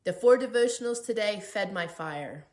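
A woman hosting a faith podcast, speaking in an earnest, emphatic way with deliberate repetition as she gives personal testimony and encouragement. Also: mid-range voice, expressive, darkish tone. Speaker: podcast host. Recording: roomy, echoey sound.

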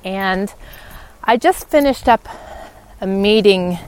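A woman hosts a casual, reflective podcast while out walking. She speaks slowly in a medium-pitched voice, often halting mid-sentence to find her next thought. The delivery is unscripted and personal. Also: slight background noise, rough recording. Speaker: podcast host. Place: outdoors on a walk, in wind.

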